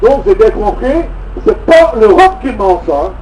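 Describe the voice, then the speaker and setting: A man's voice: high voice, big pitch swings, normal speed, a little mellow. A man with a Belgian French accent giving an emphatic, indignant political speech from notes at an outdoor gathering, with sardonic asides. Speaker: political activist speaker at a citizens' rally. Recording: muffled distant outdoor recording with faint traffic noise.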